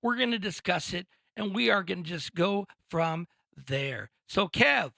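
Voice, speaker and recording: A man co-hosting a casual sports podcast. He talks in an energetic, chatty way with frequent restarts. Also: unhurried, creaky, dry recording, studio quality. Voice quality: high-pitched voice. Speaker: podcast co-host.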